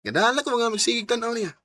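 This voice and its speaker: An actor playing a shy, lovestruck young male suitor, halting and stammering in radio drama style. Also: extremely high pitch, pushed and bright, heavily acted. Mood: smitten. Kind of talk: Bisaya radio drama acting.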